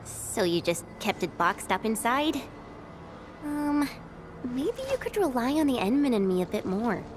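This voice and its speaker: An actress playing a caring, earnest young female comrade in a video game, with an expressive, friendly manner. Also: a little high, unhurried, noisy background. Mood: earnest, concerned. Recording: loud game music behind. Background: loud music.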